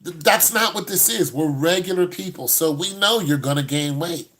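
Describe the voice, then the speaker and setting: A man's voice: on the high side, moderate pace, a bit bright. A man in his fifties, a host giving blunt, no-nonsense advice to a caller in casual chat. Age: 50s.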